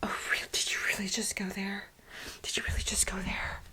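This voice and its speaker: A woman playing an aggrieved, manic, overworked research assistant in a soft-spoken, whispered ASMR role play, with halting, dramatic complaints. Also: mid-range voice, monotone. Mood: indignant, defensive. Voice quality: breathy whisper.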